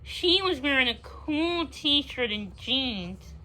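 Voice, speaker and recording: Female read-aloud narrator for a kids' book, high voice, unhurried and halting, with repeated words. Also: expressive, sharp tone, poor audio. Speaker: storybook reader.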